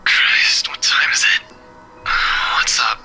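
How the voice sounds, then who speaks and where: A groggy young man, just woken, answers in a rough, curt mumble, as a man acts him out. The tone is tense and sharp, with faint music in the background. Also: slow, low-quality recording. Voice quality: rough, sleepy.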